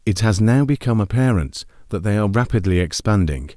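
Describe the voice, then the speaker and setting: A man playing a grave TV announcer, reading formal emergency bulletins in a measured, solemn manner. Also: on the low side, animated, mellow, no room sound, clean studio sound, natural voice.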